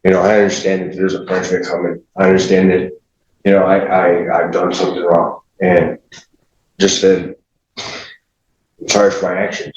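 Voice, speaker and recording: A man in his forties speaking as a defendant, making a halting, contrite statement in court. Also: low voice, level tone, a little mellow. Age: 40s. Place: echoey indoor courtroom. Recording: echoey, muffled, faint noise.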